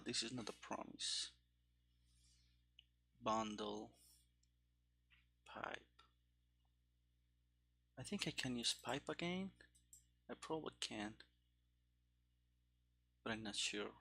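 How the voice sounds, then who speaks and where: Slow, creaky-voiced man thinking aloud. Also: mid-range voice, bright, forceful tone. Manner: halting, muttering to himself in short fragments. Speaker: game developer. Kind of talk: live coding commentary, thinking aloud.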